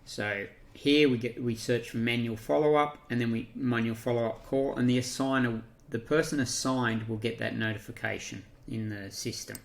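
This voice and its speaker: An Australian man giving an unscripted software walkthrough, talking through each step haltingly as he thinks aloud. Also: mid-range voice, fairly even intonation, vocal fry. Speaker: software tutorial presenter. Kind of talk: unscripted screen-share walkthrough.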